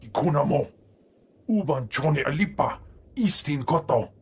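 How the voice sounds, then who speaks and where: An actor voicing a stern, husky alien master in a video game, talking in an invented alien tongue. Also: male voice, on the high side, lively intonation, unhurried, full character voice. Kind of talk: video game creature dialogue.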